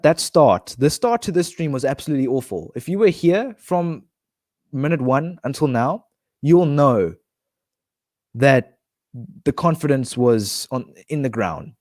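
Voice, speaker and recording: A man's voice: mid-range voice, moderate pace, a little mellow, no echo, clean studio sound. A man streaming a football manager game, talking casually and rambling with restarts, his nose blocked. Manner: chatty, rambling, many restarts and fillers. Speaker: gaming streamer.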